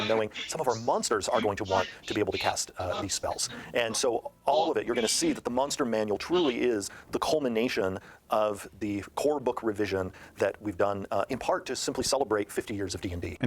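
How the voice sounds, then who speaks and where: A man speaking as a game designer in an interview, explaining things in a measured way. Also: mid-range voice, slight background noise. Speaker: game designer discussing the books they made. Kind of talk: interview discussion.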